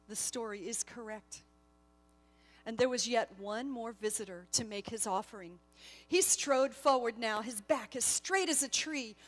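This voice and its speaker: A woman storyteller giving a solemn, deliberately phrased dramatic narration of a scripted story. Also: on the high side, fairly bright tone.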